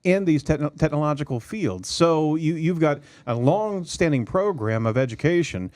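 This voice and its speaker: High-voiced joking male host. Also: animated, normal speed, darkish tone, dry recording. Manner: jokey, stumbling restarts, laughs often. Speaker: show host. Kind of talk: casual talk show chat.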